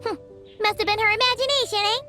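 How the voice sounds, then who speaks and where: A woman voicing a tiny, childlike fairy companion in a video game, squeaky, excitable and cheeky. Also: quick pace, over music. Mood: curious, cheeky. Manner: exclaiming, childlike.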